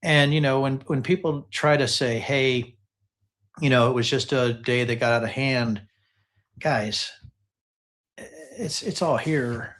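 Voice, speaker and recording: A man's voice: medium pitch, a little slow, long pauses, a bit bright. A man hosting a casual live political discussion, talking emphatically and often repeating phrases to drive his point. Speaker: host commentator analyzing political text messages.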